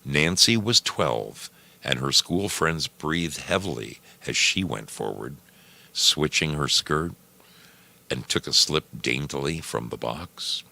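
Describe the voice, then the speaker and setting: A man narrating a short story as a program's storyteller, deliberate and theatrical in his delivery. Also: very deep voice, expressive, vocal fry, pushed and bright.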